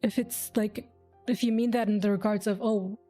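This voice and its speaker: A woman's voice: mid-range voice, steady tone, moderate pace, dark tone, faint music behind. A woman game streamer giving casual, sweary reaction commentary, thinking aloud as she plays.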